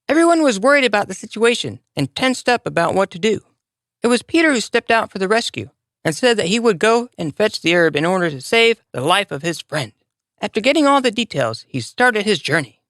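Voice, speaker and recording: A narrator reading a scripted children's moral story in an expressive, deliberate storybook manner. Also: mid-range voice, no room sound, clean studio sound.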